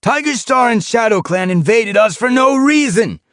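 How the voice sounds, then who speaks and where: A man voicing a fierce young male cat leader who yells and snarls harsh commands at his warriors in an audiobook. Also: extremely high pitch, expressive, moderate pace, no echo, clean studio sound, pitched above the performer's own voice. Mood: rousing.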